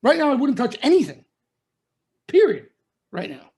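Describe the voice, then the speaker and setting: A man's voice: very high voice, huge pitch swings, moderate pace, a little mellow. A middle-aged man talks to his audience of traders in a members-only broadcast. He lectures in a blunt, emphatic way and hammers each point by saying it again. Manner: emphatic, repeats points, lectures and warns listeners. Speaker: stock trading commentator.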